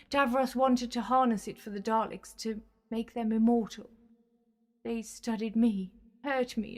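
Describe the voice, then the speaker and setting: High-voiced, imperious villainess, played by a woman. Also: fairly bright tone. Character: desperate, menacing female Time Lord villain, scheming and bitter. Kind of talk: sci-fi audio drama acting.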